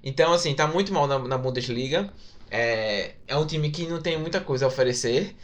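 A man's voice: medium pitch, a little fast, highs cut off. A man with a Brazilian accent giving casual football commentary, often repeating and correcting himself. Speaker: football podcast panelist.

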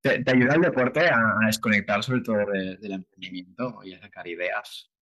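Man, fairly low pitch, conversational podcast host. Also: steady tone, fairly quick, bright, forceful tone, rough recording.

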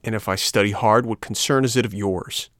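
A man's voice: lowish voice, steady tone, fairly quick, fairly bright tone, dry recording, natural voice. A young puppet boy , put on by a male narrator, talks back with cheek and indignation. He questions defiantly, in storybook style. The recording is very dry.

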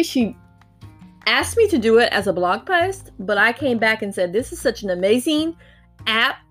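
A woman hosting a podcast, speaking in an upbeat, chatty way and addressing her listeners directly. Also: medium pitch. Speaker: podcast host. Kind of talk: podcast intro and interview hosting.

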